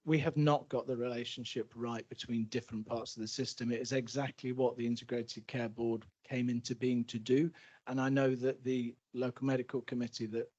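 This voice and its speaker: A man's voice: medium pitch, flat delivery, fairly quick, darkish tone, lo-fi sound. Earnest man. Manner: earnest, emphatic, frequent restarts. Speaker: NHS executive answering questions. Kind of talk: public meeting Q&A answer.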